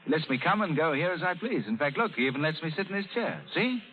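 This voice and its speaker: An actor playing a dashing, teasing young pirate and romantic hero in a 1930s radio drama. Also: male voice, on the high side, animated, fast, natural voice. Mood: playful. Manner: playful, theatrical, teasing. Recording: tinny 1930s radio broadcast.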